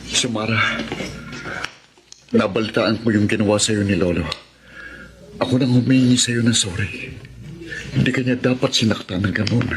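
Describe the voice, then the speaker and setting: A man's voice: lowish voice, steady tone, moderate pace, pauses often, background noise, natural voice. A man acting an earnest, devoted politician who pleads his love in a melodramatic Tagalog radio drama. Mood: apologetic. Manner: earnest, impassioned. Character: ardent, devoted male governor pleading with his sweetheart. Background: music.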